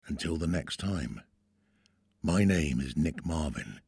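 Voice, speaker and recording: A man hosting a podcast as a voiceover artist, giving scripted poetic narration in a measured, formal, theatrical manner. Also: extremely low pitch, expressive, gravelly, darkish tone, husky, no room sound, clean studio sound. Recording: solo flute music behind.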